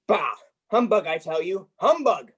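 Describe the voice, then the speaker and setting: A man's voice: high-pitched, very animated, unhurried, a bit bright, slightly rough, heavily acted. A man acting a grumpy, miserly old man in a radio play, blustering and grumbling. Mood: startled, scoffing.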